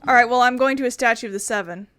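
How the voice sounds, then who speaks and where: A woman's voice: on the high side, very fast, a bit bright. A woman giving casual let's-play commentary, thinking aloud in an informal way as she plays. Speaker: gaming video creator.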